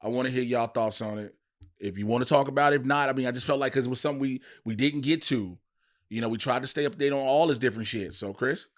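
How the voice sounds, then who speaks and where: Casting: American male podcast co-host, medium-pitched, argumentative, slangy, swearing, conversational. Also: quick pace. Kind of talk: casual podcast chat.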